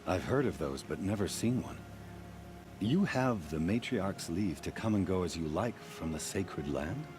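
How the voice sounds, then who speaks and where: A very low-voiced, secretive keeper of hunting grounds, talks in a measured, confiding way. It is game dialogue with music clearly playing underneath. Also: male voice, animated. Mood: appraising. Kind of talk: video game voice acting.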